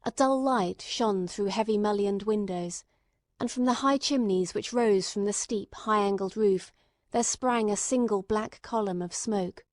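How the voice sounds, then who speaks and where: A woman's voice: mid-range voice, measured pace, darkish tone, no room sound, studio quality. A woman reading scripted literary narration as an audiobook narrator.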